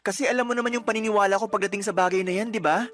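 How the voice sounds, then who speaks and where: An actor playing a principled, conservative young boyfriend in a Filipino radio drama, speaking in an earnest, reproachful and protesting manner. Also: male voice, high voice, fairly even intonation, very fast, a bit bright, faint music behind. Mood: hurt, firm.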